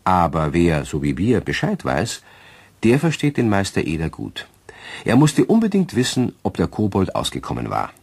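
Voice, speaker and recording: A man narrating a radio play as a knowing, genial storyteller who speaks confidingly to the listener in a husky voice. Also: deep voice, wildly swooping pitch, moderate pace, a little mellow, no room sound, exaggerated voice.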